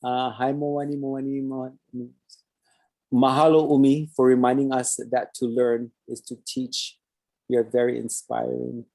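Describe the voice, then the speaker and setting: A man's voice: medium pitch, little pitch movement, unhurried, creaky, mellow, rough recording. A man with a Hawaiian Pidgin accent hosting a talk show, chatting loosely and reading viewer comments aloud with Hawaiian words mixed in. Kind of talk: casual live-stream sign-off chat.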